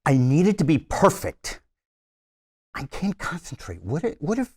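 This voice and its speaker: Exasperated perfectionist movie director in his sixties played by a man, very animated delivery, comedy table read. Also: on the high side, moderate pace, breathy edge, heavily acted. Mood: anxious, frustrated. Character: bubbly mid-sixties perfectionist film director, demanding and exasperated.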